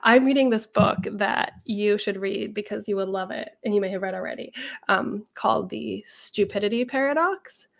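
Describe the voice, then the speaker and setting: Creaky-voiced woman, nasal from a cold. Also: medium pitch, normal speed. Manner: chatty, laughs easily, many ums. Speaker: co-host. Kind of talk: casual chat.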